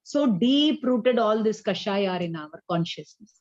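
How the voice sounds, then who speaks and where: A woman with an Indian accent giving a spiritual class, explaining deliberately and checking that listeners follow. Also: medium pitch, animated, a little mellow, no room sound, lo-fi sound. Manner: didactic, deliberate, checks understanding with questions. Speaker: religious teacher.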